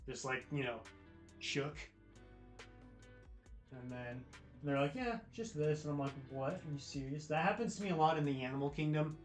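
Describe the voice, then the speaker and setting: A man's voice: on the high side, lively intonation, moderate pace, long pauses. An American man hosting a livestream, chatting casually with viewers in a laid-back, slangy way. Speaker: livestream host. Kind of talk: casual chat with live viewers. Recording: roomy echo with music behind. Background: music, guitar.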